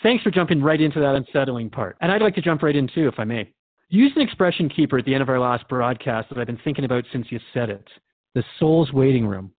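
A man's voice: mid-range voice, expressive, fast talker, no echo, narrow, tinny audio, lightly acted. A man playing a curious, polite radio host in an audio drama, interviewing in a courteous, conversational way.

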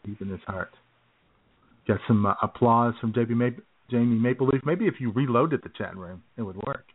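A man's voice: mid-range voice, fairly quick. A man hosting a live radio show in a casual, chatty style. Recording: muffled lo-fi internet radio.

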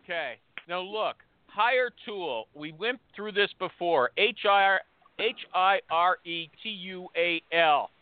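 A man's voice: high-pitched, lively intonation, takes it slowly, no echo. A man hosting a casual interview show, pushy and teasing, cutting in on his guest. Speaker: show host. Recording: tinny phone-line sound.

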